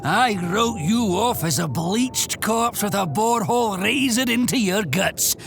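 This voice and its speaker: An actor playing a loud, greedy butcher merchant who shouts and cackles, in an audio drama with music under him. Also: male voice, high-pitched, animated, measured pace, vocal fry, exaggerated voice. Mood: boisterous.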